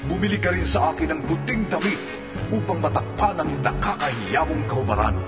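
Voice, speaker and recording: A Filipino man playing a solemn, commanding divine lord in a scripture audio drama, speaking in a measured, declamatory way. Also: on the high side, big pitch swings. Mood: stern rebuke. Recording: echoey long reverb under loud music.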